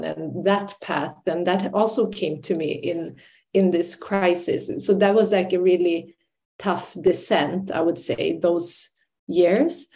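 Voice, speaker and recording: A woman's voice: fairly low pitch, dark tone. A young adult woman from Sweden, a podcast guest, talking reflectively with many fillers and restarts. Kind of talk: reflective interview talk.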